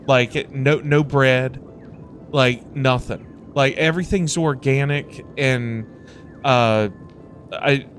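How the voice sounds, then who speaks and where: A man's voice: medium pitch, fairly even intonation, slow pace, a bit bright, a little hiss or hum. A man hosting a livestream, chatting casually in a rambling way and repeating phrases for emphasis. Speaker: livestream host. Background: music playing in the background.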